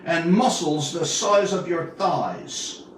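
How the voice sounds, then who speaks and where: A man in his seventies from Newfoundland reads his own literary tale aloud as its author, in a measured, expressive storytelling manner with dramatic pauses. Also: fairly high pitch. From Newfoundland, Canada. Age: 70s. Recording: roomy, distant mic, faint background noise.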